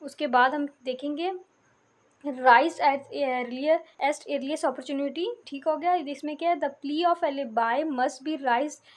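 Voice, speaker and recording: A woman with a distinctly high voice and a Pakistani accent teaches a lesson. She reads out English phrases slowly and carefully, then explains them more quickly, often rounding off a point with a brief check that it is understood. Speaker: law lecturer. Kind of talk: instructional lecture, explaining and reading out notes.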